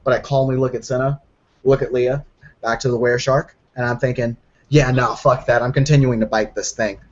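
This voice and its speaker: A man casually recounting an in-character roleplaying story with slangy, sweary, animated delivery. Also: mid-range voice, fairly quick. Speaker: tabletop roleplay player. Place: online group voice call. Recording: dull lo-fi voice call.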